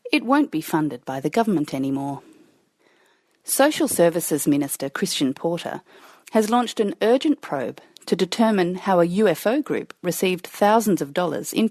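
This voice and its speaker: An Australian woman reports for a podcast in a slightly low voice. She reads from a script in news style, with soft music underneath. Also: no echo.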